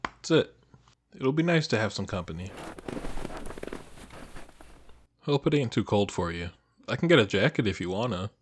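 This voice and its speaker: A man acting as a shy, nostalgic old high school best friend, talking casually and hesitantly to the listener in an intimate audio roleplay. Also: expressive, fairly quick, a little hiss or hum, natural voice. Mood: relaxed, friendly. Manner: hesitant, casual, soft-hearted, trailing off.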